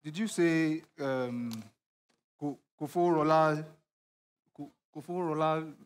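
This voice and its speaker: A high-pitched man, played by an actor, as a jailed man dreading his trial. He scolds and stammers with emphasis. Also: measured pace, natural voice. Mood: dismayed. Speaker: prisoner awaiting trial. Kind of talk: comedy skit acting.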